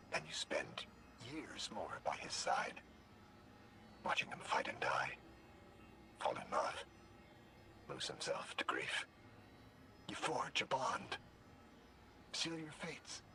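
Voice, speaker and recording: An actor voicing a devoted, anguished machine companion in a game, with an earnest, emotional, theatrical delivery. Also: male voice, on the low side, sharp tone, slight background noise, heavily acted.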